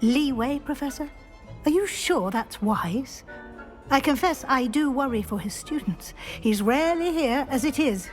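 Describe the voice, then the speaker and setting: An actress playing an earnest, tactful British professor in a video game, formal and measured in manner. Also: female voice, sits high, animated, soft background music. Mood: concerned. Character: earnest, concerned female professor, dutiful and polite. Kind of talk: video game character acting.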